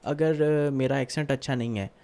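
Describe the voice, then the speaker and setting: A man who hosts a podcast, asking measured questions and often humming in agreement. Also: mid-range voice, fairly even intonation, warm tone, smooth. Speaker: podcast host. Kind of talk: podcast interview.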